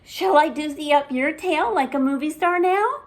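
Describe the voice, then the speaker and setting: Meek little-sister storybook animal, woman reader; high-pitched, hesitant, doubtful, always questioning. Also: lively intonation, normal speed. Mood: eager, curious. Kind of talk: children's storybook character voice.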